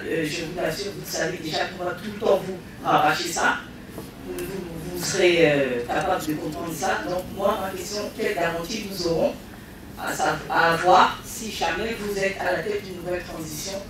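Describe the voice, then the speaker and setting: A woman's voice: lowish voice, fairly even intonation, moderate pace. A woman from the audience putting a direct, challenging question at a public meeting. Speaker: audience member asking a question. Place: large meeting room. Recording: echoey hall from far off, noisy.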